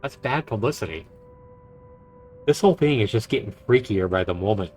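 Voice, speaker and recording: A man's voice: fairly low pitch, lively intonation, moderate pace, darkish tone. A man who streams games, reading visual novel narration aloud and reacting to it. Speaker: game streamer. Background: game music.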